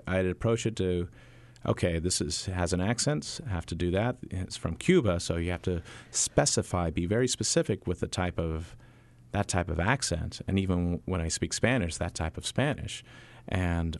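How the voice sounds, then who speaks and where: Man, very low voice, dry close sound, stage actor in an interview, lots of uhs and restarts. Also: vocal fry, a little mellow, no echo. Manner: halting, many fillers and restarts. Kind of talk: interview answers.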